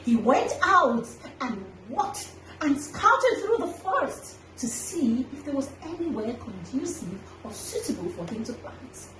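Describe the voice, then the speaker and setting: A woman hosting a children's story time, telling an animal tale with dramatic, deliberate emphasis. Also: high-pitched, very animated, fairly bright tone. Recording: echoey room, distant mic, faint noise.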